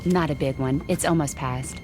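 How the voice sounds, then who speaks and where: An actress playing a courteous, efficient airline stewardess in a radio drama. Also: female voice, sits low, moderate pace, music in the background, close to an everyday voice. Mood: polite. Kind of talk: vintage-style radio drama acting.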